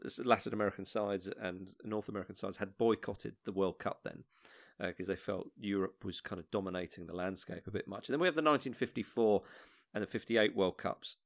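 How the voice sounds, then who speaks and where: A man hosting a football podcast, chatting casually in a halting way with many restarts. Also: on the low side. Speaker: podcast host. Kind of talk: casual chat.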